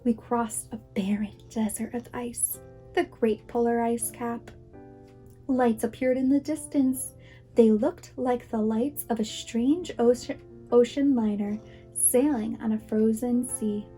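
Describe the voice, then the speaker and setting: A woman's voice: on the high side, fairly even intonation, takes it slowly, mellow, soft background music. A woman reading a children's picture book aloud, as a preschool teacher would. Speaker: guest storybook reader, preschool teacher.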